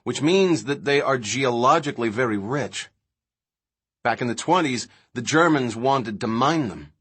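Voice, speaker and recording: A man's voice: mid-range voice, lively intonation, measured pace, fairly bright tone, husky. An intense, opinionated scientist from an audiobook novel , acted out by a male narrator. He lectures impatiently in emphatic, rhetorical phrases, taking his time over the words. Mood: absorbed, intent.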